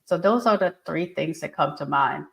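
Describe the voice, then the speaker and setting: A woman's voice: deep voice, expressive, moderate pace, creaky, roomy sound, slight background noise. A woman speaking coach explaining her advice in an earnest, instructive way during an interview. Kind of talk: interview answers.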